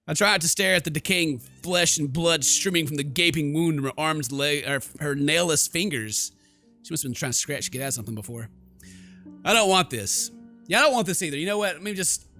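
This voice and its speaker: A theatrical, excitable man streaming a horror game, switching between dramatic read-aloud and jokey casual commentary. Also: fairly high pitch. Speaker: gaming streamer. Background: game music.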